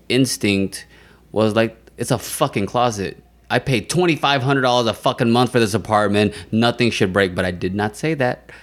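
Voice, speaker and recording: A young man with a low, booming voice tells stories in casual podcast chat. He talks in an animated way and swears freely. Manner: profane, animated storytelling. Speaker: podcast guest.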